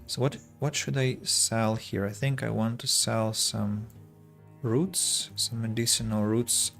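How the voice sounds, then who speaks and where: Slow-talking Russian-accented man streaming a game. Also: lowish voice. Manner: slow, careful wording with frequent restarts. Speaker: streamer and English teacher. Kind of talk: casual gameplay streaming chat.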